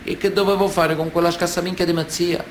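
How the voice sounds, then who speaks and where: Guy doing sicilian mafioso bragging, cocky storyteller, kinda high voice, radio drama, bit of hiss. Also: level tone, a little fast, natural voice. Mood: amused, indignant. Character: boastful Sicilian mafioso recalling prison life. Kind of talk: theatrical radio drama monologue.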